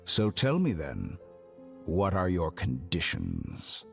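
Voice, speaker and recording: An arrogant scholar villain for a video game, with a low voice that swings expressively through measured, unhurried phrases. He sounds coolly amused, formal and condescending. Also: wildly swooping pitch, vocal fry, soft background music, exaggerated voice. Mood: amused, calculating. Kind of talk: theatrical video game voice acting.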